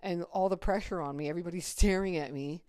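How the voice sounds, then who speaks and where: A middle-aged woman talks casually on her podcast in a low, raspy voice. She is blunt and swears freely. The recording is dry and close. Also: fast, warm tone, no room sound. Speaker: podcast host. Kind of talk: casual chat.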